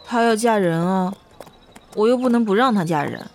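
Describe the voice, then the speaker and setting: A woman's voice: mid-range voice, lively intonation, normal speed, a little hiss or hum, lightly acted. A woman playing a carefree, naive young princess who passes as a boy, artless and hesitant, in a period audio drama. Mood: flustered, surprised.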